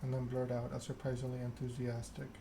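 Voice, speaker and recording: Male ASMR narrator reading second-person fiction. Measured, unhurried, narrow pitch range, with clear background noise. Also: completely flat delivery. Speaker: video game streamer reading game text aloud.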